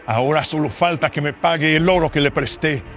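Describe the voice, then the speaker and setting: High-pitched, greedy, melodramatic nobleman. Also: fairly quick, a bit bright, soft background music. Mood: smug, mocking. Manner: theatrical, melodramatic. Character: greedy, lecherous nobleman moneylender, arrogant but cowardly. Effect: pitch-shifting voice filter. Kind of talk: horror radio drama acting.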